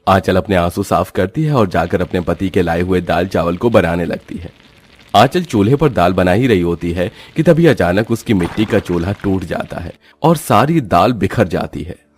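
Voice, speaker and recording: Male narrator, scripted cartoon tale, lowish pitch, dry sound, faint background music. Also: animated, moderate pace, darkish tone, breathy edge.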